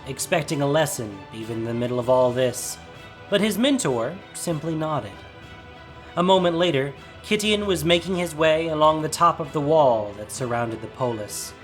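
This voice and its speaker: A man narrates an epic adventure tale in a slightly high-set voice. Soft music plays behind his scripted, dramatic delivery.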